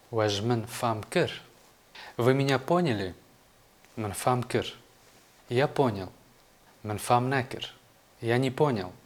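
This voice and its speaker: A man giving a language lesson, measured and clear, saying each phrase and then translating it. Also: on the low side. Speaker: language teacher. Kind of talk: scripted video lesson.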